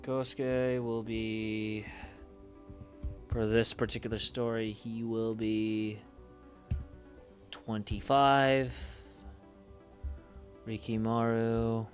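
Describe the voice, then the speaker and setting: Slow-paced man thinking aloud on stream. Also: lowish voice, little pitch movement, soft background music. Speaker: livestreamer. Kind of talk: casual livestream chat, thinking aloud.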